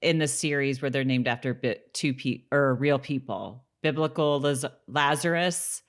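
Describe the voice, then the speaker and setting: A woman's voice: rumbling low, normal speed. A woman co-hosting a podcast in casual, informal, bantering chat. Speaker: podcast co-host.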